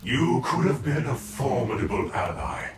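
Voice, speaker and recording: A man's voice: on the high side, moderate pace, reverberant, far from the mic, natural voice. A man playing an evil undead sorcerer in a video game, sly, theatrical and mocking. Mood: gloating menace. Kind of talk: video game fight dialogue.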